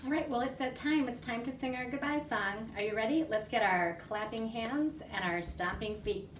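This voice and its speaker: A woman librarian reading a picture story aloud to young children from her home, animated and full of gasps. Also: a little high, normal speed, distant mic, a little hiss or hum. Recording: echoey room, voice off-mic.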